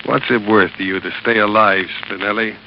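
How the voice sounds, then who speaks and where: A man's voice: fairly low pitch, steady tone, brisk, a little hiss or hum, lightly acted. An actor playing a grim, world-weary American man with terse, hard-boiled delivery in a 1940s radio drama. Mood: grim, menacing. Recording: muffled old radio recording with music.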